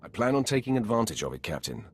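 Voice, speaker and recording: An actor playing a cold, commanding Sith lord in a video game, speaking in a curt, imperious way. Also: male voice, lowish voice, big pitch swings, fast, breathy edge. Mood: dry.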